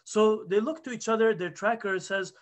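A man in his thirties teaches a religious study circle from a plain indoor room, speaking into a webcam. His voice sits high for a man, and he tells the story earnestly, often restarting his phrases. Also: normal speed. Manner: earnest, animated retelling with restarts. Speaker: halaqa teacher. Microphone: webcam video call.

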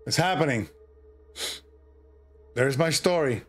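Slow-talking middle-aged man over music. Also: a little high, lively intonation, slightly rough. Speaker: livestream host and storyteller. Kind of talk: casual livestream chat and horror story reading. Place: indoor streaming setup.

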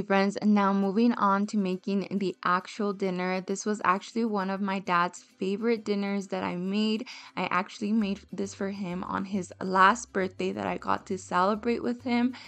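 A young woman narrating a home cooking and decorating video in a friendly, chatty voice-over, speaking directly to her viewers. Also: medium pitch. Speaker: home vlogger. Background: soft background music.

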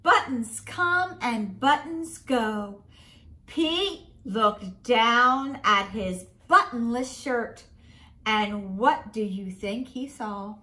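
A middle-aged woman reading a picture book aloud to children in an expressive, deliberate way. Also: fairly high pitch. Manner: expressive, slow and deliberate for children, with sing-song refrains. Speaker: school principal, guest story reader. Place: small home room, indoors.

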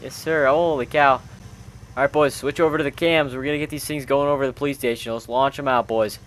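A man with an average-pitched voice commentates casually on a game he is playing. He talks loosely and chattily with slang, like he is hanging out with buddies. Faint vehicle noise runs behind him. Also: steady tone, fast. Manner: chatty, informal, peppered with bro-style slang. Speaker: gaming video host. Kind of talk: casual roleplay commentary while playing a video game with friends.

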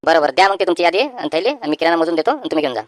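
A man's voice: on the high side, expressive, racing, dry recording, studio quality, close to an everyday voice. A man voicing a folksy village grocer in a comic cartoon, with a rural Varhadi Marathi accent and a plain-spoken way of talking. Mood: friendly, preoccupied. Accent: rural Maharashtra, Varhadi. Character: male village grocer, exasperated, distrustful creditor.

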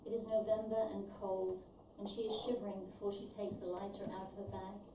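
A middle-aged woman from London, born in Ireland, reading her own short story aloud in a measured, deliberate way. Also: medium pitch, flat delivery. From born in Ireland, raised in London. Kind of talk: scripted literary reading aloud. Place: small event venue with stage, indoors. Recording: roomy, distant, faint background noise.

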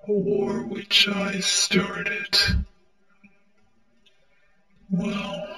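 Guy doing a sad broken robot prince, really slow and halting, echoey. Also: high voice, pushed and bright, exaggerated voice. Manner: halting, melodramatic, broken phrasing. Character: broken robot prince, war-weary, mournful, self-loathing leader. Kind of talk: dramatic amateur character monologue.

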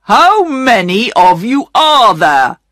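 Woman voicing a stern, slow-speaking station master. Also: fairly high pitch, sing-song, fairly bright tone, dry recording, studio quality, pitched above the performer's own voice, full character voice. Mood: stern, questioning. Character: stern male station master scolding a young thief. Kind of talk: acted audiobook dialogue.

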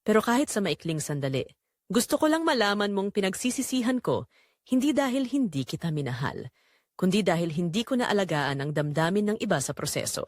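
A woman voicing a quiet, remorseful husband, earnest and tender, in an audio drama. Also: mid-range voice, very animated, fairly quick, no echo, clean studio sound. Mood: earnest regret. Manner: earnest, apologetic.

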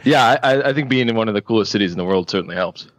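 Man, podcast guest, very deep voice, relaxed chat, dry lo-fi sound. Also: very fast, no room sound. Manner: relaxed, many ums and repeats. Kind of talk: casual interview. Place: studio space in Brooklyn.

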